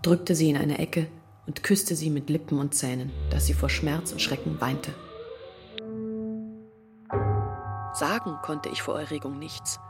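A woman's voice: low-pitched, steady tone, normal speed, music in the background. An actress playing a troubled young woman who earnestly recounts her family's long hardship in a literary radio drama. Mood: distressed, hushed. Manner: earnest, reflective storytelling in long sentences. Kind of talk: German literary radio drama acting, monologue.

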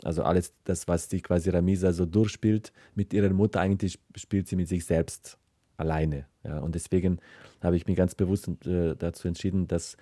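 A man from Serbia speaks as a writer being interviewed. His voice is low and mellow in a dry recording, and he explains things hesitantly, feeling for the right words. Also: dry recording.

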